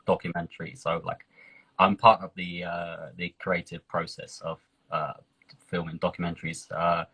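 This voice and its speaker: A young English man speaks as a guest in a casual interview, with a deep, husky voice. He talks slowly and hesitantly, pausing on fillers as he moves between learner Portuguese and English. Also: flat delivery, bright, forceful tone, rough recording. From England. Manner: hesitant, many fillers, laughs often.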